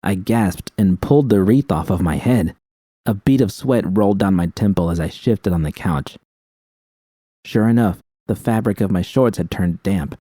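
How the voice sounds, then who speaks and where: A man's voice: low-pitched, normal speed, mellow, no echo. A man reading first-person fiction as an audiobook narrator, with dramatic storytelling delivery.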